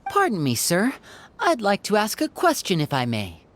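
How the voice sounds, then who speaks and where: An actress playing a polite, serious female monster hunter in a video game, formal and measured. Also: medium pitch, wildly swooping pitch. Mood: composed, polite. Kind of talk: video game character acting.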